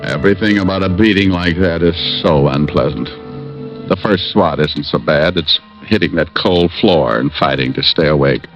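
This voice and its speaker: An actor playing a wisecracking private detective who narrates, in a 1950s radio drama. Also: male voice, low voice, normal speed, music in the background. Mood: weary. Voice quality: wry, dry wisecracking. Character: wisecracking battered private eye. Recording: dull old radio transcription.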